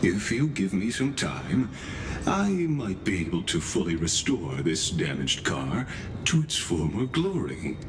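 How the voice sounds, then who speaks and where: A very low, composed male voice plays a machine-born game scholar who is polite to a fault and quietly scheming. His formal, deliberate phrasing rises and falls expressively, over a clear rumble of background noise. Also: sing-song, exaggerated voice. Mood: courteous, composed. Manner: formal, deferential, measured, deliberate. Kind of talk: scripted video game character dialogue.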